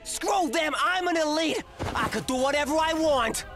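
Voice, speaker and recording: A man's voice: very high-pitched, sing-song, drawn-out words, bright, forceful tone, faint music behind, full character voice. A man voicing a spoiled, cowardly young elite villain in an exaggerated manga dub, whiny and panicky. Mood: entitled whining.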